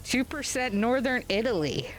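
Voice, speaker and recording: A woman's voice: fairly high pitch, lively intonation, slight background noise, low-quality recording. A woman hosting a gaming stream, chatting casually and rambling with fillers as she plays at home. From United States. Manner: rambling, unhurried, with frequent fillers and chuckles. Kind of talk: casual chat while playing a game. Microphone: webcam setup.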